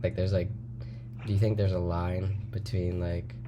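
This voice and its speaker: A man hosting a podcast, talking casually and haltingly with many fillers. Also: deep voice, level tone, noisy background. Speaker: podcast host. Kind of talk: casual interview conversation.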